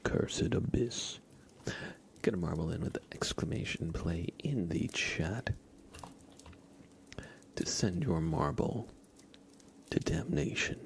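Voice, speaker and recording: A man's voice: low voice, gravelly. A man hosting an ASMR live stream, giving mostly whispered, mock-dramatic game commentary.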